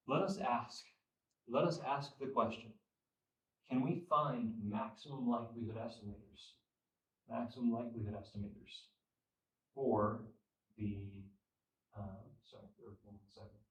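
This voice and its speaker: A young man teaching statistics at a classroom whiteboard, losing his voice and clearing his throat, repeating phrases and checking understanding. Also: medium pitch, measured pace, pauses often. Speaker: statistics instructor. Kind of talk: classroom lecture. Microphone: far camera. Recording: echoey room, from far off.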